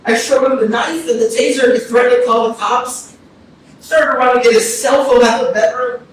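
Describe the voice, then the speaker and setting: An actor in a staged play reading, playing a troubled young killer who confesses in halting, terse answers. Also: mid-range voice, steady tone, close to an everyday voice. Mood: agitated. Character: subdued troubled young man confessing to killing his family. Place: arts center stage, distant mic. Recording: echoey hall from far off, faint noise.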